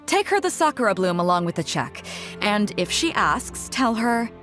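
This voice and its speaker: A woman's voice: mid-range voice, sing-song, moderate pace, fairly bright tone, soft background music. A woman voice-acting a confident, generous pirate fleet captain in a video game, with a commanding, easy manner. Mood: commanding, kind.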